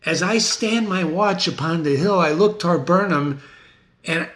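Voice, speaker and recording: A man playing a frightened, flustered messenger in a Shakespeare tragedy, nervous, halting and pleading. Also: fairly high pitch, fairly bright tone, voice pushed up. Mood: alarmed. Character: frightened, flustered male messenger bringing bad news. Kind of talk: dramatic amateur Shakespeare reading.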